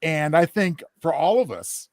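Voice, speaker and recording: A man co-hosting a podcast in casual chat, speaking earnestly in wordy, halting sentences. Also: on the high side, big pitch swings, a little mellow, dry recording, studio quality. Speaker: podcast co-host.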